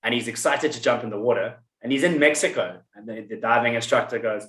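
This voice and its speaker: A man's voice: mid-range voice, fast, fairly bright tone, roomy sound. A man hosting a podcast in casual chat, rambling and telling stories with many restarts. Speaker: podcast host.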